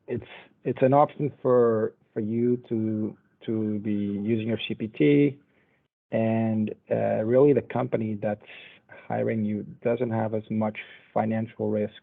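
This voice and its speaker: A man in his twenties from Portugal, giving a relaxed, conversational talk in an online lecture. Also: lowish voice, vocal fry. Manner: relaxed, conversational, loosely paced. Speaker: panel speaker. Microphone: video call. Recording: tinny phone-like video call audio.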